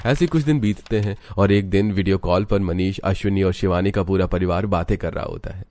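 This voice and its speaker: A man's voice: sits low, fairly quick, dark tone, no room sound. A man narrating a scripted cartoon story.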